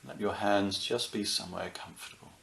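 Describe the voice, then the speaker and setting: A man leading a guided meditation as a teacher, speaking soothingly in short phrases with unhurried pauses. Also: on the low side, fairly even intonation, creaky, fairly bright tone, reverberant. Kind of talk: guided meditation, unscripted and gentle.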